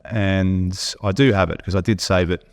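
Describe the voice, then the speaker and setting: An Australian man co-hosting a podcast, talking bluntly in an animated, opinionated way, with frequent restarts. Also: low voice, normal speed. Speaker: podcast co-host. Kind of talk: casual opinionated chat.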